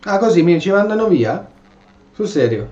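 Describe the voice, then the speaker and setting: A man's voice: sits high, very animated, brisk, darkish tone. A man giving casual, sarcastic gameplay commentary, role-playing his replies in an informal way. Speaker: gamer, role-playing through a video game. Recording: dull, echoey microphone, faint game music.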